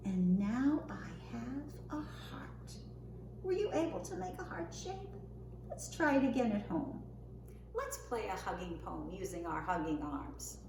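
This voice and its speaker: A woman's voice: high voice, a little mellow. A woman hosting a children's storytime, reading aloud and speaking simply and directly to young children. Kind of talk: picture-book read-aloud for young children. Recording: roomy echo, faint background noise.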